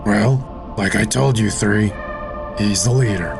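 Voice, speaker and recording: An actor playing a patient, level-headed soldier and mentor in an audio drama. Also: male voice, on the low side, takes it slowly, creaky, over music. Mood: firm.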